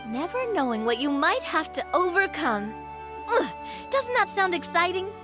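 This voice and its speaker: A bubbly, self-assured young adventuress in a video game, with a high voice. She is playful and breezy, swinging widely in pitch over soft music. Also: big pitch swings, normal speed. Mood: enthusiastic. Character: bubbly, confident, adventurous young lady gamer.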